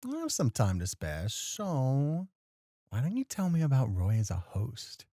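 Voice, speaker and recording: A man's voice: medium pitch, wildly swooping pitch, a little slow, no echo, clean studio sound. A man playing a gracious, teasing professor moonlighting as a club host in a flirty ASMR roleplay. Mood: playful challenge. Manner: teasing, playful, softly courteous. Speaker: voice actor.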